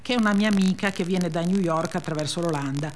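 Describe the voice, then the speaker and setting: An actress reading a memoir as a blunt, resentful female narrator, with deadpan, childlike run-on phrasing. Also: on the low side, fast talker, a little hiss or hum, natural voice. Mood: wry, matter-of-fact. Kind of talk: dramatic reading of a first-person memoir.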